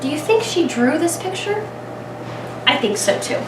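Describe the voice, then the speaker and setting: A woman reading a picture book aloud to young children as a kindergarten teacher, expressively, with dramatic pauses and thinking aloud as she asks the listeners questions. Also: very high voice, expressive. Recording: echoey room, distant mic, clear background noise.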